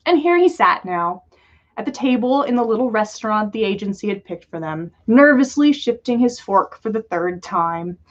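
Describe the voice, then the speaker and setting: A woman reading a story aloud on a livestream, expressively, with dramatic pauses and drawn-out words. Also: mid-range voice, lively intonation, lo-fi sound. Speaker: podcast host reading listener-written fan fiction.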